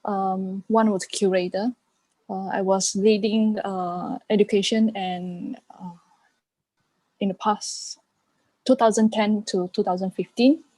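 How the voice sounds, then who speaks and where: A Malaysian woman gives a casual introduction in an online talk. She speaks very slowly, with many halts. Her tone is mellow and the sound is close and dry. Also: medium pitch, fairly even intonation, no room sound. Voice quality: halting, pausing often. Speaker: art curator, guest.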